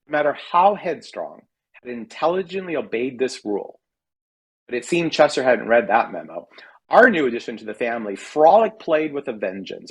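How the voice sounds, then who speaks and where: An American man giving an expressive reading of a humorous personal essay, with wry, dramatic emphasis. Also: mid-range voice, slightly rough. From California, United States. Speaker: writer reading own work at a literary reading.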